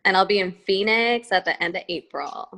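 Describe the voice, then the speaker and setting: A woman chatting casually on a video call, talkative and quick to laugh while planning meetings. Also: mid-range voice, sharp tone.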